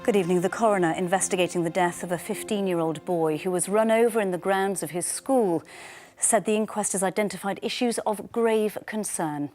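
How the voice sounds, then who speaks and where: A woman works as a news presenter, reading from a script in a formal register. Her voice sits at a middle pitch, with the measured delivery of a broadcast bulletin. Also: a little mellow.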